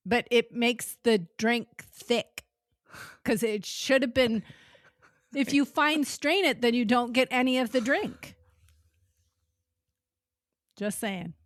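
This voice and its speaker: A woman's voice: on the high side, slow pace, long pauses, dry recording, studio quality. A woman co-hosting a podcast, chatting casually in a matter-of-fact way. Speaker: podcast co-host. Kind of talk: casual chat.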